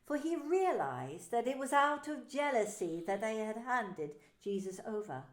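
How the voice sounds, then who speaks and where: A woman's voice: on the high side, very animated, unhurried. A woman minister narrating a dramatised scripture story, telling it expressively. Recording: roomy echo.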